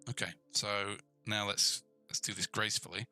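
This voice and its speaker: A man with a fairly low, strikingly bright and forceful voice talks through a programming tutorial. He thinks aloud in an informal way, addressing the viewer directly and often checking that things make sense. Music plays behind him. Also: little pitch movement, normal speed. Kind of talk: casual screencast coding tutorial, thinking aloud.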